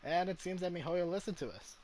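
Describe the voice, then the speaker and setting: A man's voice: high-pitched, fairly quick, a little mellow, a little hiss or hum, rough recording. A man streaming a game, chatting casually in a rambling, hesitant way. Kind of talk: casual livestream chat over gameplay. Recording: game music under the voice. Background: video game music.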